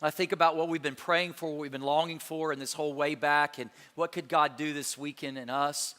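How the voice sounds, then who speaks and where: Bright, forceful man preaching. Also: on the high side, a little fast. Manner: energetic, rhetorical questions, calls for response. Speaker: pastor. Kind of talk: Easter sermon, dialogue with co-pastor. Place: large church auditorium. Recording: reverberant hall with faint music.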